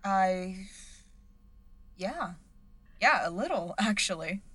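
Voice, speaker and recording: A woman's voice: mid-range voice, lively intonation, slow pace, fairly bright tone, no room sound. A woman playing an earnest, unsure young understudy actress with a hesitant manner. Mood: flustered.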